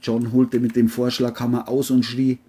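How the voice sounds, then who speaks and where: Narrator for a crime thriller: mellow and husky, scripted delivery. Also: mid-range voice, monotone, normal speed.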